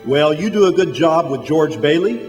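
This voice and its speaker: A man playing a calm, authoritative head angel who instructs his apprentice in a radio drama. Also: fairly high pitch, moderate pace, dull audio, close to an everyday voice. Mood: calm, instructive. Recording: hall reverb, music underneath.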